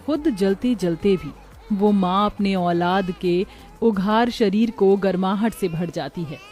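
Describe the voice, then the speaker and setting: A woman's voice: medium pitch, slow pace, a little mellow, soft background music. A woman narrator reading a scripted moral story in a solemn storytelling manner.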